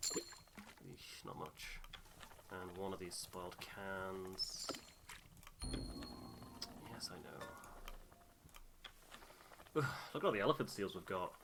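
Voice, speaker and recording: A man's voice: deep voice, gravelly, background noise. A man giving casual game commentary as he plays, muttering half to himself. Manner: muttering to himself while playing. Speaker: gamer, playthrough host.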